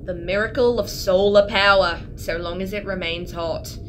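A woman's voice: steady tone, normal speed, lightly acted, poor audio. Woman playing a blunt, sardonic space engineer. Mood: matter-of-fact. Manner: dry, wry, blunt. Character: sardonic, resentful, plain-spoken female space engineer. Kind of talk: naturalistic audio drama acting. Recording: faint rumbling background noise.

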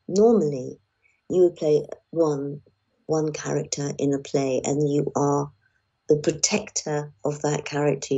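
A woman's voice: low voice, vocal fry, dark tone, breathy edge, highs cut off. A woman who works as an actor and narrator, speaking in a podcast conversation. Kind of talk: podcast conversation with read-aloud passages.

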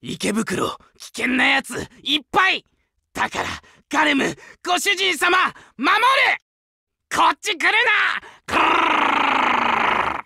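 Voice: sits high, very animated, takes it slowly, vocal fry, sharp tone, no room sound, clean studio sound, exaggerated voice. An actor playing an eager, childlike, fiercely loyal wolf guard dog in an anime game, speaking in simple, exclaimed phrases. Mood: excited, eager. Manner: simple broken childlike speech, exclaiming, needy for praise. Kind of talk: anime game character voice lines.

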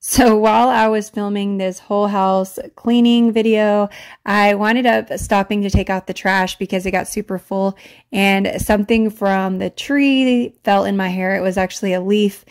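A young woman, a stay-at-home mom vlogger, chatting casually in a voice-over and laughing easily. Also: mid-range voice.

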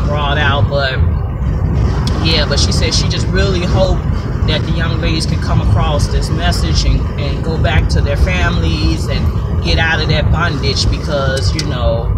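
A middle-aged woman hosting a casual gossip vlog from inside her car, talking loosely and filling her sentences with "you know". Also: low voice. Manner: chatty, leans heavily on "you know" fillers. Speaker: vlog host. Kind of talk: casual celebrity gossip chat. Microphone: phone camera mounted at the front of the car. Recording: loud road noise, slightly distant mic.

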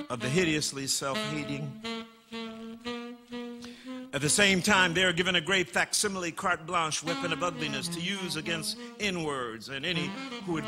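A man performing dramatic spoken-word poetry, emphatic and incantatory, with drawn-out words and repeated phrases. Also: high voice. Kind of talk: dramatic political poetry performance over saxophone. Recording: long hall reverb, saxophone playing along. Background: live saxophone music.

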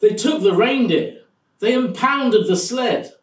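Middle-aged man, lively swooping storyteller, rhyming verse, echoey, off-mic room sound. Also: high-pitched, takes it slowly, recorded from a distance. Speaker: poem reader. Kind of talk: dramatic rhyming story reading. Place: living room indoors. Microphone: camera across the room.

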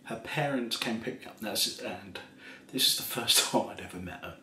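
A man of forty telling a story in a casual, soft-spoken way, rambling and laughing at himself, seated in a small kitchen in front of a camera. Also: on the low side, brisk, roomy sound, a little hiss or hum. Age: 40s. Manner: rambling, laughs often, self-mocking. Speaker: ASMR creator.

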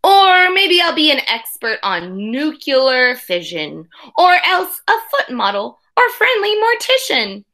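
A woman voicing an eager, imaginative eight-year-old schoolboy from a storybook, in animated, sing-song rhyme. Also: sits high, slow pace, hardly any pauses, exaggerated voice. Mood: excited, dreamy.

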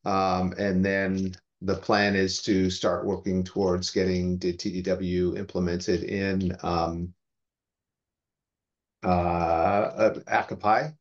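A man giving an informal technical update on a video call in a measured, halting way. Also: sits low, level tone. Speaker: meeting participant giving updates. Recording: dull video call audio.

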